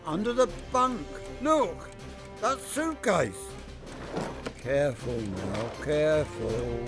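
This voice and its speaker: A man's voice: fairly high pitch, animated, slow, music in the background. An amateur actor playing a cool-headed male secret agent in a radio drama, giving urgent, clipped commands.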